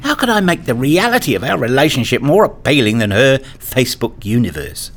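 A man narrating a first-person radio story in a measured, reflective way, also voicing the father's lines. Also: medium pitch, sing-song, fairly bright tone. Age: middle-aged. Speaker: narrator and lead actor playing a father.

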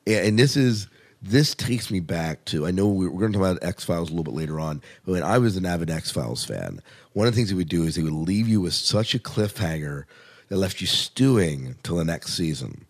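A man's voice: low-pitched, lively intonation, fairly quick. A man hosting a podcast, chatting casually about television in an informal, rambling, opinionated way. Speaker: podcast host. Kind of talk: casual TV review chat.

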